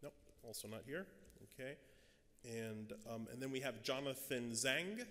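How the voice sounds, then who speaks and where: A middle-aged man emceeing an award ceremony from a podium mic in a large hall, talking in a casual, halting way. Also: mid-range voice, a bit bright, slight background noise. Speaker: event co-chair and emcee. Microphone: podium microphone. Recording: long hall reverb.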